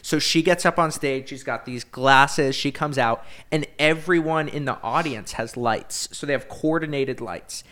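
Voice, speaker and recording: A man co-hosting a podcast, telling stories in casual, enthusiastic chat and repeating words when excited. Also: mid-range voice, a little slow, few pauses. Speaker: podcast co-host.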